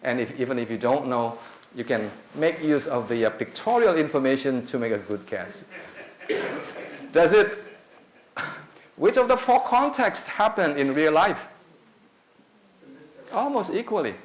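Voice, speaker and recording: A middle-aged man from Indonesia, a native Indonesian speaker, giving a conversational lecture to teachers, with many filler pauses, holding a handheld mic in a lecture room. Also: medium pitch, lively intonation. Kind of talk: conference talk. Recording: echoey room with faint noise.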